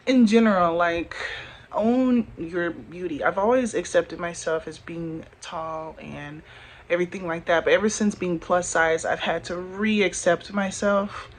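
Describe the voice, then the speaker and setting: Candid woman vlogging on her phone. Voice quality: deeper voice for a woman. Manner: chatty, candid, many fillers like "like" and "you know". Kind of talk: casual talk to camera. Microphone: phone camera.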